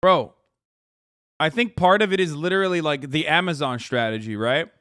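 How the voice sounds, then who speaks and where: Streamer guy from turkey ranting, lots of swearing, bit high voice, dry close sound. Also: moderate pace, a bit bright, no echo. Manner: profane, emphatic, rambling with restarts. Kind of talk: reacting to clips, casual rant.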